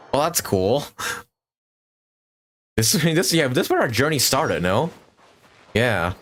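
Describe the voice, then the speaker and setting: A young man streaming a video game with casual, running commentary. His mid-pitched voice moves in lively swings, and he jokes and mutters to himself as he plays. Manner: jokey, talks to himself while playing. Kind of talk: casual live gameplay commentary.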